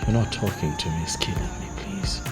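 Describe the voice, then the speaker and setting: An actor playing a remorseful young husband in a palace, softly begging his wife to forgive him. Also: very high voice, huge pitch swings, fairly quick, loud music behind the voice, full character voice. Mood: pleading. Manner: soft, pleading, apologetic. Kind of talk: film acting.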